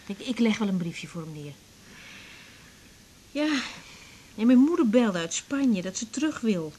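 An actress playing a brisk, troubled woman in a naturalistic radio drama, conversational and sometimes murmuring. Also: medium pitch, sing-song, warm tone, slightly rough. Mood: troubled, subdued. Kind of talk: naturalistic 1980s Dutch radio drama acting. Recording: faint tape hiss.

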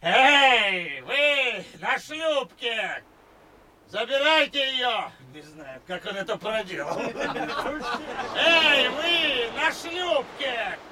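An actor playing a volatile, spiteful sea captain in an audio drama, with a blustering, theatrical delivery. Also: male voice, extremely high pitch, lively intonation, drawn-out words, bright, forceful tone, some chatter behind, exaggerated voice. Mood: agitated shouting.

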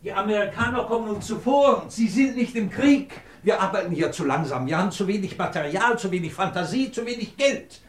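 Echoey, high-voiced impatient boss. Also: male voice, animated, brisk, hardly any pauses. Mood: impatient, commanding. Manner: brusque, urgent, commanding. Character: impatient, domineering titled male chief of a research team. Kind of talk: German radio drama acting.

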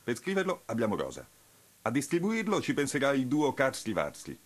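An actor playing a brisk, impatient, domineering male political activist in a radio play, curt and commanding. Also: mid-range voice, wildly swooping pitch.

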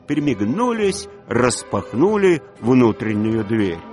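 Man, mid-pitched voice, expressive storyteller, dramatised literary narration, clear music bed. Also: slow pace. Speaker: narrator of a radio play.

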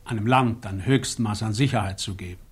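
An actor playing a modest, thoughtful male panel member in a radio play, husky, reflective and polite. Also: lowish voice, fairly quick. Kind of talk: German radio play acting.

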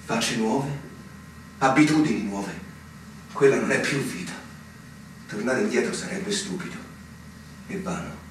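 A man's voice: lowish voice, expressive, a little slow, pauses often. A man playing an earnest, melancholy young army lieutenant in a radio drama, solemn and literary in delivery. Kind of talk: theatrical radio drama acting. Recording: very echoey stage, distant mic, hiss.

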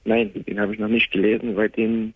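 A man in his twenties calling a radio phone-in, subdued and grieving, speaking haltingly with many restarts. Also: fairly low pitch, flat delivery, a little hiss or hum. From Germany. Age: 20s. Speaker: caller. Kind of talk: call-in radio conversation. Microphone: telephone. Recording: tinny bad phone line.

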